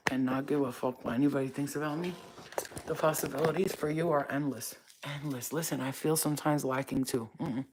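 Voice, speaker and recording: A woman's voice: very deep voice, darkish tone, slight background noise, low-quality recording. A woman from Staten Island, hosting her own channel and talking straight to viewers in a blunt, profane, preachy way. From Staten Island, New York. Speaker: spiritual reader and channel host. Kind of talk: casual talk to camera.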